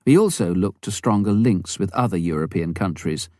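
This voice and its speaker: A man's voice: low voice, animated, moderate pace, darkish tone, no room sound, studio quality. A man reading a memoir aloud as scripted audiobook narration. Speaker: audiobook reader.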